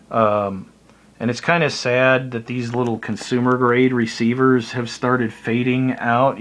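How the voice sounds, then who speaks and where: A man's voice: medium pitch, a bit bright. Casual, rambling American man. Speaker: shortwave radio hobbyist giving tips. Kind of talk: casual unscripted explanatory chat.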